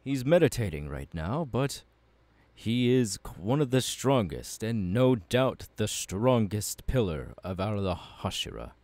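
A man's voice: lowish voice, very animated, a little slow, a little mellow, dry recording. A man playing a passionate, courteous young warrior mentor in an ASMR audio roleplay, speaking in an earnest, formal and encouraging way. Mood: earnest, proud.

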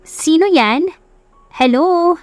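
A woman's voice: very high voice, expressive, drawn-out words, no echo, pitched above the performer's own voice, full character voice. A woman voicing an affectionate, respectful young woman in her early twenties in a dramatized love-story audiobook. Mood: curious. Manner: respectful, gently teasing. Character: eager, polite twenty-two-year-old woman, affectionate daughter and new tutor. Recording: music under the voice.

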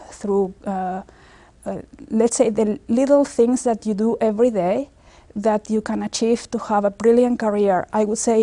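A woman in her forties speaks English with a Spanish accent, her voice warm and mellow. She talks in a measured, earnest way, pausing often to find her words. She sits for an interview in an office. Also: mid-range voice. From Spain. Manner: measured, earnest, many filler pauses. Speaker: space agency engineer. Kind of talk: documentary interview. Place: office with large screen and window.

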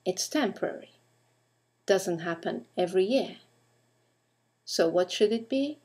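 A woman's voice: medium pitch, lively intonation, a little slow, darkish tone. A woman teacher narrating a scripted grammar lesson, enunciating carefully and putting questions to the listener.

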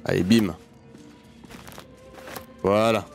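Male gamer, fairly low voice, informal sweary self-talk, faint game music underneath. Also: fairly even intonation, extremely slow, gravelly. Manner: informal, sweary, muttering to himself. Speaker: gaming video host. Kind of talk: casual let's play commentary. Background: video game music.

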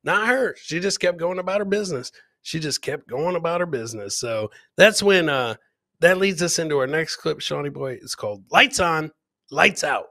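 A man's voice: on the high side, lively intonation, normal speed, sharp tone, dry recording, clean studio sound. A middle-aged man doing casual, jokey live commentary on video clips as a comedian host, often repeating his catchphrases. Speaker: comedian hosting a livestream clip show.

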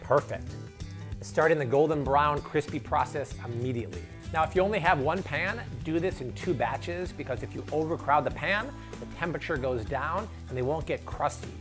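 A man in his thirties with a Chicago accent, hosting an energetic, playful cooking demo in his home kitchen. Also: a little high, moderate pace, over music. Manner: upbeat, playful, salesy. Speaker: cooking show host.

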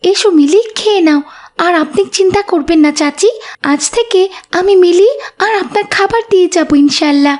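Cartoon casting: kind, forgiving small girl, voiced by a woman, very high and earnest. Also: brisk, a little mellow. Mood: kind, reassuring. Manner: polite, earnest. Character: kind, devout little schoolgirl, forgiving and polite. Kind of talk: Islamic cartoon dub acting.

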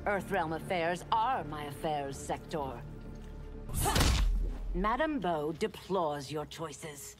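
A woman's voice: sits low, animated, slow, long pauses. A woman playing a repentant demon warrior in a video game, grave and formal. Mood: reproachful. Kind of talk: video game dramatic dialogue. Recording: clear music behind the voice.

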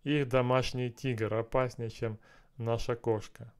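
A man in his thirties on a headset mic in a small room, working through language drills out loud, repeating and translating each phrase and muttering to himself. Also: medium pitch, little pitch movement, measured pace, a little hiss or hum. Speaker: language learner streaming a lesson. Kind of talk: thinking aloud while doing app exercises.

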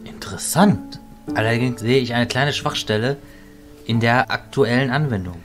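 A man's voice: medium pitch, moderate pace, faint music behind, close to an everyday voice. A man as a dry, level-headed illusionist. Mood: dry, measured. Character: level-headed, analytical male illusionist companion. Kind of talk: fantasy audio drama acting.

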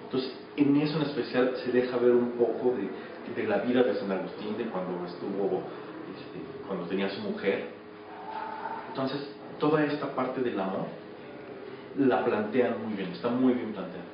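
A man's voice: on the low side, normal speed. A man in his thirties with a Mexican accent, an actor answering casually in an interview. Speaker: radio drama actor being interviewed. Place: small office. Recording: echoey, distant, muffled, noisy camera audio.

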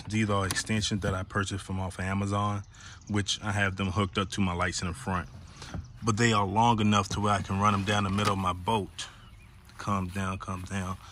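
A man with a fairly low voice talks casually through a hands-on project, step by step and without fuss. He records outdoors on a handheld camera, with faint ambient noise around his voice. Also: fairly bright tone. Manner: plain, step-by-step explaining. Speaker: boat-owner hobbyist giving a walkthrough. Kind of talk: casual how-to vlog narration. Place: outdoors in a grassy backyard.

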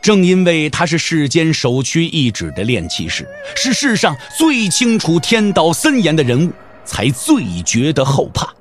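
A man narrating a Mandarin audio drama as a scripted story, theatrical and intense. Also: fairly high pitch.

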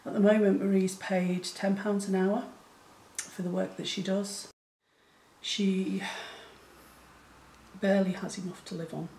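Woman talking slow to camera, bit gravelly, echoey room with faint hiss. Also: medium pitch, little pitch movement, dark tone. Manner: slow, hesitant, earnest. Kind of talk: speaking to camera. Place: small room indoors.

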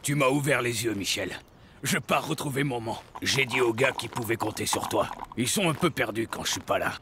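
An actor voicing a tough aging biker gang leader in a cartoon, gruff yet sentimental about his mother. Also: medium pitch, moderate pace, very noisy, close to an everyday voice. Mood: solemn. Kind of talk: French cartoon acting.

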